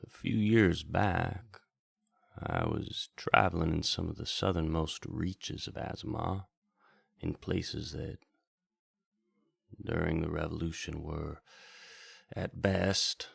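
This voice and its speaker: Very deep, gravelly male storyteller. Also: fairly even intonation, a bit bright, dry recording, clean studio sound. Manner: measured, reflective, deliberate phrasing. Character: well-travelled wandering bard recalling the road. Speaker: host of a radio hour, speaking in character as a wandering bard. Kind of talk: slow scripted storytelling narration, radio drama.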